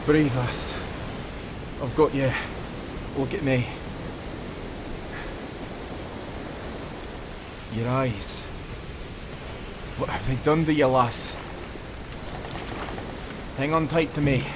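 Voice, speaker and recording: An actor playing an earnest, protective sailor with old-fashioned nautical talk, in a cinematic audio roleplay. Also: male voice, mid-range voice, steady tone, takes it slowly, pauses often, noisy background, close to an everyday voice. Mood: tender, concerned. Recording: loud ocean waves and surf. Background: sea waves, storm ambience.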